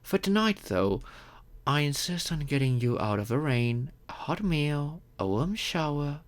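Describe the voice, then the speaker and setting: A man playing a courteous, gentle, wealthy old vampire in an audio roleplay, speaking reassuringly and earnestly to the listener. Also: on the high side, expressive. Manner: gentle, reassuring, unhurried with thoughtful pauses.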